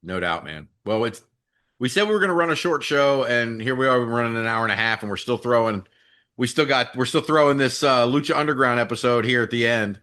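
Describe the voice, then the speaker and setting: A man's voice: mid-range voice, a little fast, bright, forceful tone. A man co-hosting a wrestling podcast in casual, chummy chat with some mild swearing. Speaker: podcast co-host.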